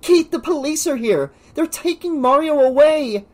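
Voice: mid-range voice, lively intonation, moderate pace, a little mellow, pitched above the performer's own voice, natural voice. A narrator voicing an earnest, concerned teenage girl in an audiobook, with a serious, urgent manner. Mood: panicked. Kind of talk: audiobook character dialogue.

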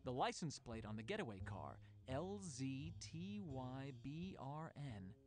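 A man's voice: medium pitch, sing-song, a little slow, darkish tone, soft background music. A man reading a scripted children's storybook as narrator.

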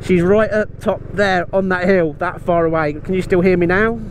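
Middle-aged British man, effusive over loud road noise. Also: high-pitched, fairly even intonation, normal speed. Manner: emphatic, effusive. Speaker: motorcycle gear reviewer and host. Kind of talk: enthusiastic product review vlog.

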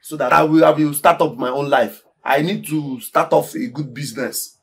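An actor playing a poor, aggrieved young village farmer with a Nigerian accent, stammering and repeating himself in agitation. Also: male voice, on the high side, steady tone, normal speed, gravelly. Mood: low, scheming. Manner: agitated, stammering repetition of words. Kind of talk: Nollywood film acting. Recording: background music under dialogue.

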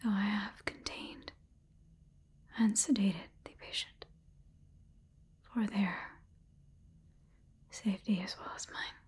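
A woman in her twenties playing a calm scientist, dictating haltingly in a breathy whisper in an ASMR roleplay. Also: mid-range voice. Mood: clinical, hushed. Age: 20s. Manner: slow, halting, clinical dictation. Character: calm, clinical female scientist examining an alien-infected patient.

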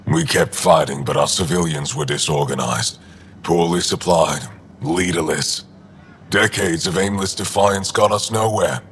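An actor playing a gruff, war-hardened alien resistance leader in a video game, terse, dry and cynical with a gravelly voice. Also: male voice, low-pitched, a little slow, fairly bright tone, exaggerated voice. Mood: grim, resolute. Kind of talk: video game dialogue acting.

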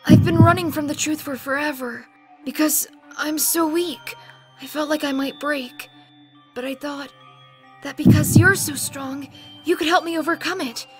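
A woman voicing a timid, gentle teenage boy in a fan manga dub, hesitant and soft, with stammers. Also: sits high, fairly even intonation, moderate pace, soft background music, lightly acted. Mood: timid, pleading.

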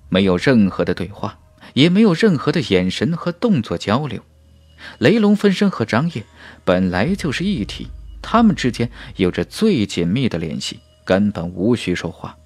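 Man narrating fantasy with very lively intonation. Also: on the low side, dry recording. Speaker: audiobook narrator. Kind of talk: dramatic scripted narration of a fantasy novel. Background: background music.

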